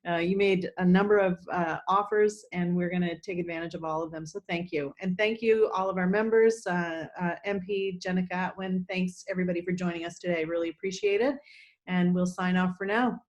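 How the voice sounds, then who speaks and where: A woman host, moderating a video call politely, with many ums and halting restarts. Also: lowish voice, steady tone, muffled recording. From New Brunswick, Canada. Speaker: chamber of commerce host.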